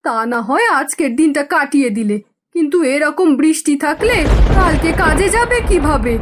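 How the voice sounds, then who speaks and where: A woman's voice: sits high, animated, moderate pace, noisy background. A woman playing a worried, caring wife from a poor village household in a cartoon, with a fretful, questioning manner. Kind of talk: cartoon story dialogue acting. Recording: music under the voice.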